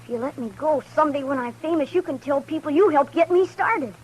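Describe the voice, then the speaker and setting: A woman's voice: high-pitched, fast talker, close to an everyday voice, poor audio. A woman playing a boastful, gun-crazy runaway boy in a 1950s radio western, speaking in rustic country grammar. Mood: pleading.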